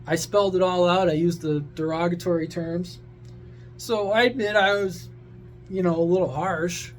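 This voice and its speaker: A man's voice: high-pitched, steady tone, normal speed, soft background music. A man giving a casual, opinionated rant to his viewers, blunt, sarcastic, crude and exasperated. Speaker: social media creator and CB radio hobbyist.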